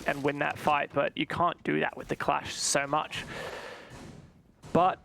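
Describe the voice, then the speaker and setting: A man working as a play-by-play caster, commentating live on an esports match with fluent analysis that can rise into excited calls. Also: a little high, a little hiss or hum.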